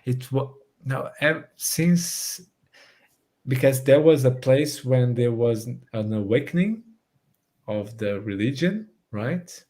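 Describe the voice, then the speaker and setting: A man's voice: medium pitch, animated, takes it slowly, darkish tone. A Brazilian-born man raised in Portugal, a native Portuguese speaker with a Portuguese accent, talking earnestly as a podcast guest and restarting phrases as he searches for English words. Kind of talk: casual conversation.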